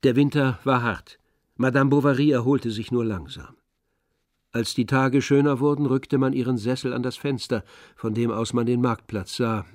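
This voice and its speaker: A man giving scripted literary narration in a radio drama, in a measured, composed manner. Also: mid-range voice, fairly even intonation, a little mellow, dry recording, clean studio sound.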